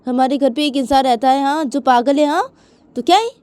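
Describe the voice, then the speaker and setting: A woman's voice: high-pitched, brisk, darkish tone, no room sound, pitched above the performer's own voice, lightly acted. A woman voicing an angry, aggrieved dinosaur mother in a story, scolding with repeated, badgering questions. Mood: furious, indignant. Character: furious, aggrieved dinosaur mother, nagging and indignant.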